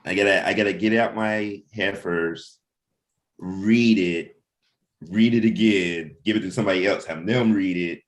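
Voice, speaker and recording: A man's voice: sits low, measured pace. A man talking casually as a writer about creative work, rambling and repeating himself as he thinks aloud. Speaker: comic book writer.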